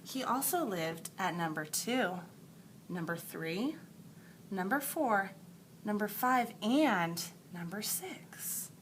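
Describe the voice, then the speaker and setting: A woman teacher reading a picture book aloud to young children in a deliberate, emphatic storytelling way, with asides to her pupils. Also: medium pitch, lively intonation, a little hiss or hum. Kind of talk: expressive picture-book read-aloud for second graders.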